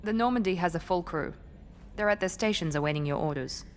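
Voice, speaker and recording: A woman's voice: on the low side, fairly quick, in a large hall, slight background noise, lightly acted. A woman playing a poised, businesslike officer in a video game, briefing in a formal, confident manner. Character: poised, businesslike female officer advising her commander. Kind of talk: scripted video game dialogue.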